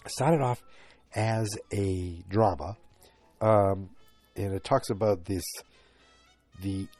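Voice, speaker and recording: A man's voice: mid-range voice, warm tone. A man in his forties with a stuffed-up, congested voice, hosting a solo podcast and rambling with many restarts and fillers. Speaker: podcast host. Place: home, late at night.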